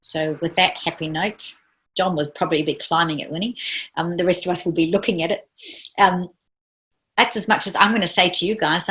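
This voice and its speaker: A middle-aged woman with a blended New Zealand and Australian accent gives a motivational talk, her voice sitting slightly low. She tells stories with energy and acts out the voices of the people she quotes. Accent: New Zealand mixed with Australian. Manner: lively storyteller, acts out quoted dialogue, laughs often. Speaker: guest speaker.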